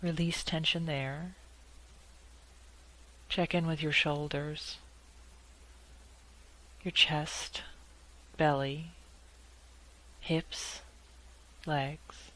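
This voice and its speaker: A woman leading a guided meditation in a calm, measured way, leaving long pauses between short phrases. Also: deep voice, poor audio.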